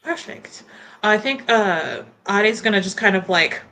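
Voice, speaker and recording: A woman playing a tabletop roleplaying game, talking in a hesitant, halting way as she searches for words. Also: medium pitch, lively intonation, bright, forceful tone, faint music behind. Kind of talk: unscripted in-character roleplay and table talk.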